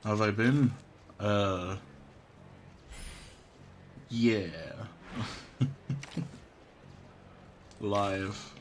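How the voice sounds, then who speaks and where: A man's voice: fairly low pitch, steady tone, drawn-out words, pauses often, a little hiss or hum, rough recording. A man livestreaming a game, chatting casually and reading on-screen text aloud in a laid-back, mumbly way, humming to himself. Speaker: live game streamer. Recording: music behind the voice. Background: game music.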